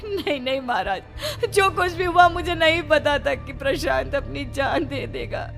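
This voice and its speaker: Woman acting a high-voiced tearful, desperate mother. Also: animated, moderate pace, creaky, a bit bright, soft background music, exaggerated voice. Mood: tearful pleading. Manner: tearful, pleading. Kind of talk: horror cartoon voice acting.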